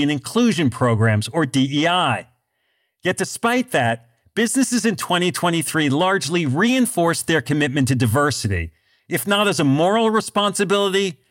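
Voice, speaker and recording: A man hosting a business podcast, reading scripted narration in a measured, deliberate way. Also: a little high, a bit bright. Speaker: podcast host.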